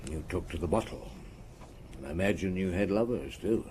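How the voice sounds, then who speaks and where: Radio drama lead: actor as middle-aged police chief, low voice, measured, probing yet compassionate, faint ambience behind. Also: male voice, a little hiss or hum, lightly acted. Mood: quiet, sympathetic. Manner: measured, probing, quietly sympathetic.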